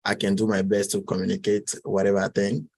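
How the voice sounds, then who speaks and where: A man in his twenties from Togo with a Togolese French accent, answering interview questions in a halting way, with many fillers and restarts. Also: lowish voice, monotone, gravelly, a little mellow, breathy edge. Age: 20s. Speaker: guest.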